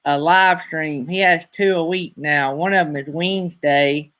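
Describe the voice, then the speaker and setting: A man's voice: a little high, fairly even intonation, measured pace, a bit bright. A man with a Southern accent hosting a casual live-stream chat, rambling along with plenty of fillers. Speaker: host.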